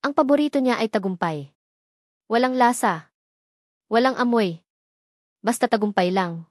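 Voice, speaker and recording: A woman's voice: fairly high pitch, expressive, a little slow, no room sound. Woman reading as wealthy lonely man, Filipino accent, earnest, vulnerable, mysterious, audio love story, dry acoustics. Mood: reflective.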